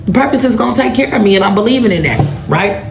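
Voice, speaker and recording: A woman's voice: mid-range voice, expressive, quick pace, creaky. A woman in her thirties hosting a casual solo podcast, telling a story and turning to her listeners again and again to check they are with her. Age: 30s. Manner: chatty storytelling that keeps turning to the listeners with "right?" and "y'all". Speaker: podcast host. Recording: echoey room with faint background noise.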